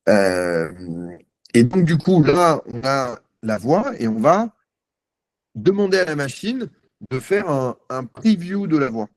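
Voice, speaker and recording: A man's voice: fairly high pitch, very animated, slow pace, dry recording, clean studio sound. Chatty man on a video call. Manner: chatty, self-mocking, thinks aloud with many fillers. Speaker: guest presenter. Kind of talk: live demo on a video call.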